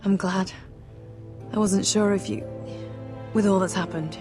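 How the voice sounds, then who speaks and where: An actress playing a tender, anxious young noblewoman in a video game drama, speaking softly and hesitantly. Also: medium pitch, steady tone, a little slow, natural voice. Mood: tender, uncertain. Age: young adult. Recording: music behind the voice.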